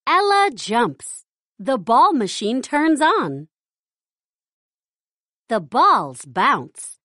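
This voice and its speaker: A woman reads a children's storybook aloud in a slightly high voice. She goes word by word, very slowly, with a very lively melody, so young readers can follow along. The sound is dry. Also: no echo. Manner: slow, careful, word-by-word delivery for young readers. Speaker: storybook narrator. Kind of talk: scripted children's read-along narration.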